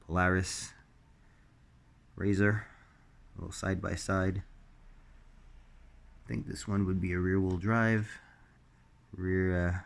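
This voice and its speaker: A man with a slightly raspy voice while recovering from illness, hosting a casual unboxing, halting with many ums and uhs. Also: deep voice. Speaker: diecast collector host.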